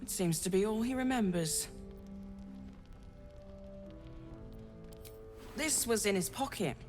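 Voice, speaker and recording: A woman voicing a kindly, earnest healer in a fantasy game, gentle and plain-spoken. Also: mid-range voice, lively intonation, brisk, faint music behind, natural voice. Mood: concerned. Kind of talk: dramatic video game acting.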